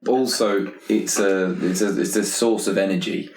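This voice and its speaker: A man talking casually and a little haltingly as a player in a tabletop game, in an echoing room. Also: on the low side, steady tone, darkish tone. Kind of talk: casual improvised game chat. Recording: roomy, echoing sound.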